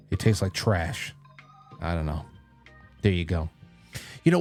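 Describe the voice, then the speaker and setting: Male talk host, low register, informal and rambling, faint music underneath. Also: darkish tone. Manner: informal, rambling, lightly profane. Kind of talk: casual solo livestream chat with ad read.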